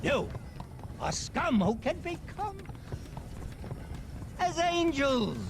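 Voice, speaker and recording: Very high-pitched temperance crusader. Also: male voice, vocal fry, background noise, full character voice. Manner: declamatory, impassioned. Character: fervent, preachy temperance crusader making a street speech. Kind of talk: oratory in a game cutscene.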